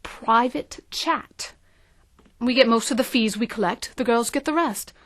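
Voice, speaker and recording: Woman playing an ambitious high-voiced marketing consultant. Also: measured pace, a bit bright. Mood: smug, pitching. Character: ambitious, scheming female marketing consultant, self-assured and coaxing. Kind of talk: audio drama acting.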